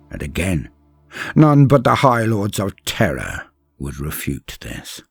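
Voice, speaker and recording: A man with a husky voice narrating scripted fantasy lore in a theatrical, portentous manner with deliberate pauses. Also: low-pitched, a little mellow. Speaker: narrator and host of a lore channel. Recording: music playing behind the voice. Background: background music.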